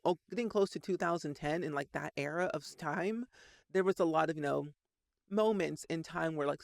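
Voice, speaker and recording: Gravelly young man rambling about his past. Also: a little high, dry recording, studio quality. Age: 20s. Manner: rambling, frequent "like" fillers. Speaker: Sims content creator. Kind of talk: casual personal storytelling.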